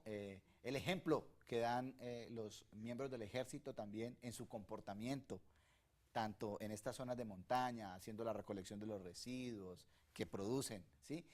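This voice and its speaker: A man's voice: lowish voice, a little mellow. A man from Colombia hosting a televised teleconference, formal and courteous as he moves between guests and callers. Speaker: host.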